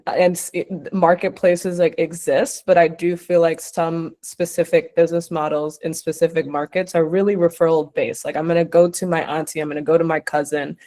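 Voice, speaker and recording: Female investor judge from Uganda, low-pitched, conversational and probing. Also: darkish tone. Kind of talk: spontaneous feedback and questions.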